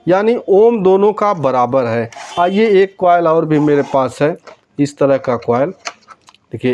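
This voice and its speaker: A man's voice: fairly high pitch, darkish tone, slight background noise. A man giving a casual, hands-on repair tutorial, explaining step by step and speaking directly to viewers. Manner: conversational, step-by-step, often addressing viewers directly. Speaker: electronics repair tutorial presenter.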